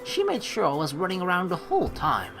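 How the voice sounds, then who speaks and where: A young man hosting a let's play, reading the story aloud and adding expressive, rambling commentary. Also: high voice, brisk, gravelly, soft background music.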